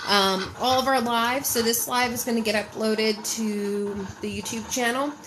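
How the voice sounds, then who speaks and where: A woman's voice: fairly high pitch, steady tone, moderate pace, background noise. A woman with a head cold, sniffly, chatting casually and rambling to viewers on a live stream as a podcast host. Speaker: podcast host and mental health mentor.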